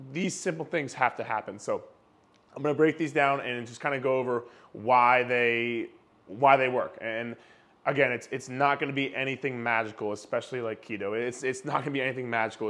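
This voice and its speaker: A young man with a slightly hoarse voice, casually explaining fitness topics to his viewers in a chatty way, full of fillers and repeated words. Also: medium pitch, a bit bright. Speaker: fitness video creator. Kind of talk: casual talking-to-camera explainer.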